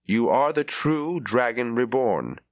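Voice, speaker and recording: A man's voice: mid-range voice, big pitch swings, slow, voice pushed up. Man doing a stern commanding older woman. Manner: firm, commanding.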